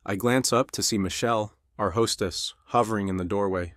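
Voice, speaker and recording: A low, closely recorded male voice acted by a man tells his own story as a troubled veteran turned restaurant boss. The delivery is intense and literary, made for dramatic audio fiction. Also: steady tone, fairly quick, fairly bright tone, no room sound, studio quality, natural voice. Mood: brooding. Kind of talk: dramatic first-person scripted narration.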